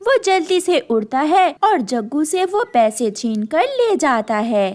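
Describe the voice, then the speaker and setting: High-voiced woman narrating a children's story. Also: big pitch swings, measured pace, darkish tone.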